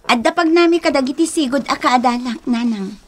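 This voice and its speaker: A stubborn young Filipino wife with an Ilocano accent. Her voice is very high and plaintive, acted in the melodramatic manner of radio drama. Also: brisk. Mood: defiant.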